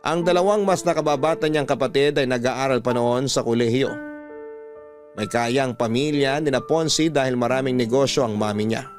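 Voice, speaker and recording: A man narrating a listener's letter on a radio drama program, with a steady storytelling delivery. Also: medium pitch, clean tone, no room sound, studio quality.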